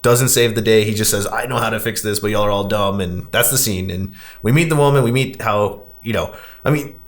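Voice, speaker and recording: A man with a fairly low voice talks as a podcast host in casual discussion. He recaps things conversationally, dropping "like" into his sentences often. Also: brisk. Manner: conversational, heavy use of "like".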